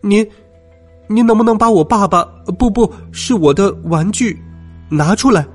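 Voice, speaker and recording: A man's voice: high voice, normal speed, faint music behind, voice pushed up. A man voicing an anxious young child in a storybook, pleading urgently and stumbling over words. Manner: urgent, stammering, near tears.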